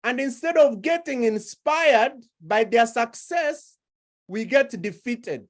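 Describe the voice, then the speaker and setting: A woman preaching a sermon, slow and deliberate, building her points through repeated phrases. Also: fairly high pitch, lively intonation.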